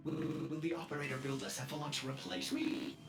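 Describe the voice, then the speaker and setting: An actor voicing a fussy, insecure ship computer AI in a video game, formal and theatrical with sarcastic asides. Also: male voice, fairly high pitch, fairly even intonation, fast, natural voice. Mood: eager, wounded. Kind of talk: scripted video game acting.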